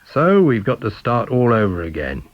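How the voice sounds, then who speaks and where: A man's voice: mid-range voice, huge pitch swings, normal speed, no echo, lo-fi sound. A man voicing a practical, plain-spoken police inspector with a matter-of-fact, rueful manner.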